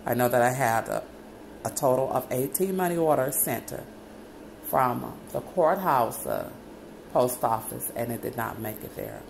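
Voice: medium pitch, expressive, normal speed, creaky, a little hiss or hum. A speaker praying aloud in a long, wandering prayer, calling out "Lord God" again and again between loose phrases. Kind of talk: rambling spoken prayer.

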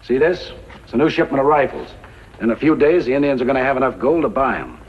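Old West gang leader, calculating and bossy, very animated husky delivery, old radio drama sound. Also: male voice, mid-range voice, fast talker. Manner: commanding, calculating. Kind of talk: 1950s radio western acting. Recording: muffled vintage radio recording.

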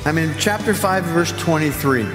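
A man in his fifties preaching a sermon from a church stage, gesturing and slowing down to stress key phrases. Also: a little high. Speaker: pastor. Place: church stage, large hall. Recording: echoey hall with music behind.

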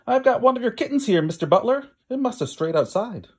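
A thoughtful male country vet from a storybook, voiced by a man reading aloud. He is polite and matter-of-fact, with a husky, expressive delivery. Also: very high-pitched, brisk, voice pushed up, full character voice. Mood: calling out.

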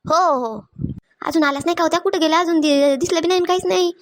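A woman plays a nagging cartoon village housewife with a high voice. She talks extremely fast, impatient and complaining. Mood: brisk. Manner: complaining, colloquial. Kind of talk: Marathi comedy cartoon dialogue.

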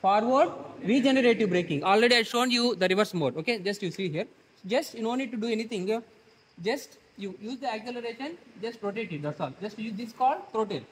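A man with an Indian English accent teaches a class in a large, roomy workshop hall, talking at a brisk pace. He keeps putting questions to his students as he demonstrates. Faint background noise runs under his voice. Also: sits high, expressive. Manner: quizzes students with rhetorical questions. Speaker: instructor.

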